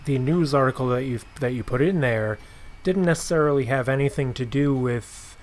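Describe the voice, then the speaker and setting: Male podcast host, mid-pitched, conversational, feels his way through his phrasing. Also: normal speed. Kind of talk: casual interview chat.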